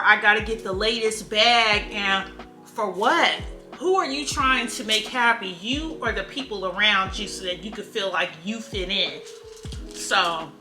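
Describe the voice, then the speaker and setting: A woman's voice: medium pitch, bright, forceful tone. A woman, talking candidly to camera in a casual motivational vlog. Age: 30s. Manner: candid, chatty, drawn-out emphatic phrases. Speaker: vlogger. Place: room at home. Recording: echoey room with faint music.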